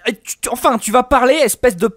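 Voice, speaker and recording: An actor in an amateur audio drama playing a hotheaded vacationer with melodramatic outbursts. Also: male voice, extremely high pitch, a little fast, a bit bright, no echo. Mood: bombastic. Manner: bombastic, melodramatic. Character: hotheaded vacationer, theatrical accuser.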